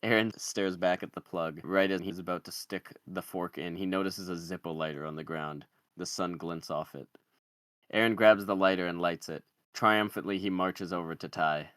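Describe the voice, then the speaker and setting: A man's voice: sits low, fairly even intonation, moderate pace, fairly bright tone, no echo. A man reading screenplay stage directions as scripted narration.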